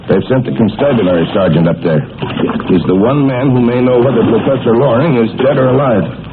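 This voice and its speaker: An actor playing a calm, authoritative adult adventure leader in an old-time radio serial. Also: male voice, mid-range voice, fairly quick, natural voice. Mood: steady, commanding. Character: Uncle Jim, steady capable adult adventure leader. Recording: noisy, tinny old radio broadcast.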